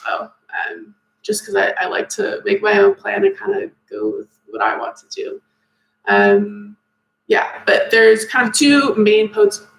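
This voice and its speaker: A woman's voice: medium pitch, creaky. A young woman from Maine hosting a casual live Q&A, chatty and upbeat with lots of ums. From Maine, USA. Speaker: teacher recruiter hosting a live Q&A. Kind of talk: casual livestream chat answering comments. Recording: echoey room.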